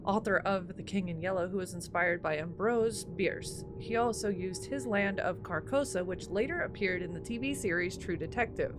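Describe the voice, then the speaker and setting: A woman's voice: on the low side, normal speed, hardly any pauses, noisy background. A woman playing a game on her channel, reading text aloud and commenting in an informal way. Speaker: gaming streamer. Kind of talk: game playthrough, reading on-screen text aloud with casual asides. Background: music.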